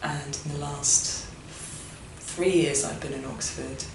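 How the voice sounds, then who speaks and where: A woman's voice: low voice, fairly even intonation, slow. A woman in her thirties who talks in a chatty, candid way and laughs easily, in a documentary interview. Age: 30s. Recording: very echoey room, distant, noisy.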